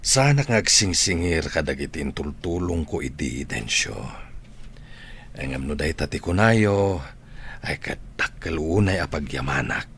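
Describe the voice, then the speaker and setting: An actor playing an ailing, gracious older retired teacher with an Ilocano accent, speaking gently and gratefully in a radio drama. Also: male voice, a bass voice, expressive, measured pace, slight background noise, exaggerated voice. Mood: warm, modest.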